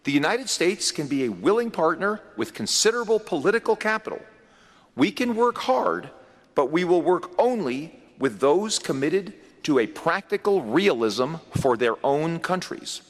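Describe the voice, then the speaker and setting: A man's voice: a little high, very animated. Man speaking formally in a reverberant hall. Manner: measured, emphatic delivery with deliberate pauses. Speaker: U.S. official giving a speech. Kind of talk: formal prepared speech. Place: large conference hall. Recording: long reverb of a large hall.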